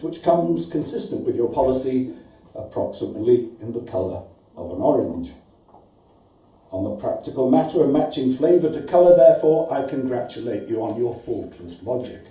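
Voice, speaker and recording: A man's voice: mid-range voice, dark tone, lightly acted. A man voicing a pedantic, pompous, aggrieved customer reading out a formal, wordy letter of complaint as a live comic story. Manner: formal, wordy, precise, mock-serious. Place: indoor arts venue reading, before an audience. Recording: roomy, distant live event recording.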